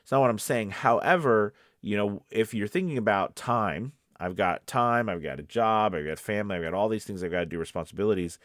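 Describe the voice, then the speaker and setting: A man's voice: fairly low pitch, fairly quick, few pauses, fairly bright tone, dry recording, clean studio sound. A man speaking as a voice-over coach, giving casual, conversational advice and repeating his points for emphasis. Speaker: voice-over coach and casting professional. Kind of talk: casual instructional advice to camera.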